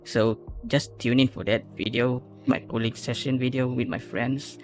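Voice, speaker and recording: A man's voice: mid-range voice, flat delivery, moderate pace. A man giving casual reaction commentary as a video host, rambling and often correcting himself. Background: music.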